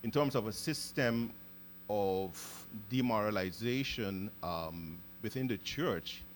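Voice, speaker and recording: A man from Guyana hosting an interview, speaking thoughtfully and often pausing to search for words. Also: on the low side, slight background noise. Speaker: podcast host. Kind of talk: casual interview conversation.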